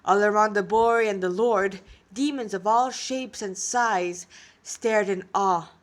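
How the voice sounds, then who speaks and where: A woman's voice: medium pitch, takes it slowly. A woman with a congested nose reading a fantasy story aloud as an amateur narrator.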